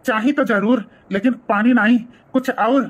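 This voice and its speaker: A man's voice: very high voice, steady tone, normal speed. A man voicing a flirty, scheming brother-in-law in a village comedy cartoon, sly and wheedling, with a Bhojpuri accent. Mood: flirtatious.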